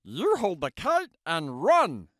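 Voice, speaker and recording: A man's voice: sits high, wildly swooping pitch, takes it slowly, a bit bright, dry recording, studio quality, full character voice. A man voicing Frog, a cheerful, patient grown male frog, reading a storybook aloud. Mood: encouraging. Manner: encouraging, storytelling.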